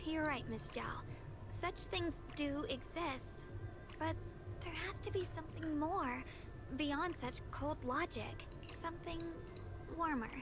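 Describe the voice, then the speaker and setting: A woman voicing a gentle, earnest young martial disciple in a video game, sincere and a little hesitant. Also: high-pitched, slow pace. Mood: earnest, tender. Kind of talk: scripted video game voice acting. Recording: game music behind the voice.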